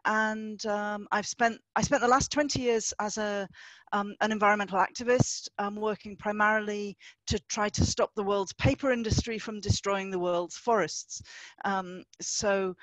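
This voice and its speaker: Middle-aged woman from northumberland, guest poet on a video call, halting, lots of ums, dry sound. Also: medium pitch, steady tone, fairly bright tone, dry recording, low-quality recording. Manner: halting, many fillers and restarts. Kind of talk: festival introduction over video call.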